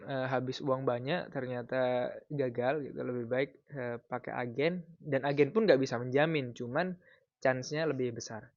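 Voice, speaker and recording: A man in his thirties from Indonesia, giving casual advice in a vlog in a relaxed, explanatory way with frequent fillers. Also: medium pitch, dry recording, lo-fi sound. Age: 30s. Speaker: vlogger.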